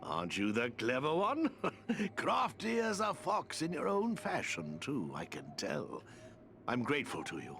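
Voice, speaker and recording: A gentle, whimsical old wizard for a fantasy game. He meanders along slowly in a slightly creaky voice that leaps up and down. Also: mid-range voice, huge pitch swings, heavily acted. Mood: delighted, grateful. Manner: chirpy, meandering, swooping. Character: whimsical, kindly old wizard who talks with birds. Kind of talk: video game cutscene acting.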